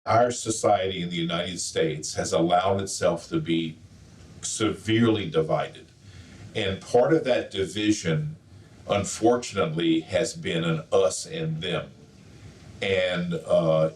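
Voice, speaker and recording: A man speaking in a measured, thoughtful way in a documentary interview. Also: low voice. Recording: distant roomy echo.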